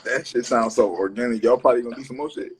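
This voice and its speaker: A man hosting an interview, asking questions in a quick, joking, teasing way. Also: medium pitch, husky. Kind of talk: casual interview chat.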